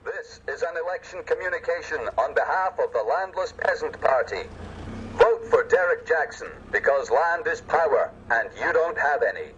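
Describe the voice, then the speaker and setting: A man's voice: on the high side, expressive, moderate pace, fairly bright tone, breathy edge. A man delivering a scripted election address in a declamatory, sloganeering way. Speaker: political campaigner. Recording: dull lo-fi audio, faint vehicle noise.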